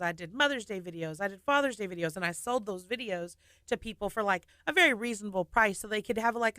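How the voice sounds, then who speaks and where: Woman in her thirties, bright voice, laughs often, candid, dry studio sound. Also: mid-range voice, dry recording. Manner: lively, laughing, candid storyteller. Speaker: singer and nurse guest. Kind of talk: casual radio interview. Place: radio studio.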